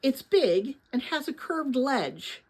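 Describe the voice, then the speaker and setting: Woman voicing a thoughtful young storybook boy, husky. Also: high-pitched, sing-song, takes it slowly, darkish tone, heavily acted. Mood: cautious. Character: thoughtful young boy exploring, cautious and puzzled. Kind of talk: storybook read-aloud character voice.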